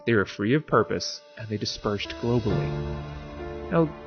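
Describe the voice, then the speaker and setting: A man hosting a podcast, explaining philosophy in a conversational lecturing monologue. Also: medium pitch. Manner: conversational lecturing, rhetorical check-ins. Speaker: podcast host. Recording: dull lo-fi audio over music bed. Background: background music.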